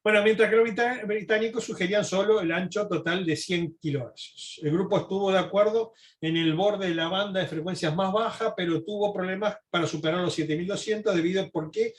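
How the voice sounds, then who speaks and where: A man's voice: high-pitched, fairly quick, hardly any pauses. A man lecturing informally from notes, explaining as he goes and adding asides and rhetorical questions.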